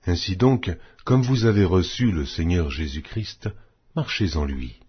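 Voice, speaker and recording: A man reads scripture aloud as an audiobook narrator, with a low-pitched and somewhat rough, husky voice. He goes slowly and solemnly, setting out each phrase in a measured, declamatory manner. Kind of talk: solemn scripted Bible reading. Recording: dull, muffled lo-fi audio.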